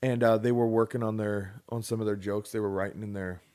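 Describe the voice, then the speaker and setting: A man's voice: on the low side, fairly even intonation, brisk, darkish tone. A young man co-hosting a podcast, chatting casually with a loose, joking manner and casual swearing. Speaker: podcast co-host. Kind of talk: casual chat.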